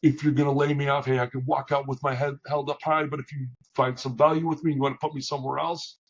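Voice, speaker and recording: A middle-aged man with a big booming voice, talking candidly as an interview guest, with many restarts and fillers. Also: level tone, fast, slightly rough, muffled recording. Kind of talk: reflective conversational interview.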